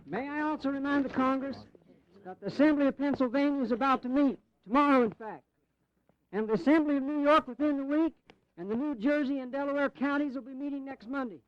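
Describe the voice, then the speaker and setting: An actor playing an insistent, courtly colonial delegate speaking formally in a historical audio drama. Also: male voice, very high-pitched, brisk. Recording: dull, close 1980s drama recording.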